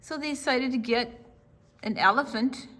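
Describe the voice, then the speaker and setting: A woman hosting a children's storytime, reading a picture book aloud with theatrical, deliberate phrasing that draws in young listeners. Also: high voice, pushed and bright.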